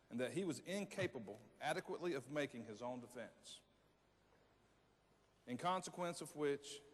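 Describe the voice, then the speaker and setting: Guy acting as a wry plain-talking convict reading out his petition, emphatic, medium pitch, big echoey hall. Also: lightly acted. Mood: formal, emphatic. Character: Clarence Gideon, plain-spoken, wry male Florida convict petitioner. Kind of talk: readers theater monologue, reading aloud and addressing audience. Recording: hall with long reverberation.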